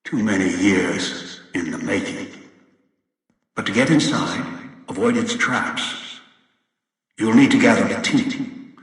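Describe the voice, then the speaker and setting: An actor playing a cold, obsessive old male villain in a video game, giving orders in a deliberate, menacing, condescending way. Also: fairly low pitch, fairly bright tone. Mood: stern, menacing. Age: older. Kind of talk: video game voice acting, monologue. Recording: very echoey, long reverb, distant.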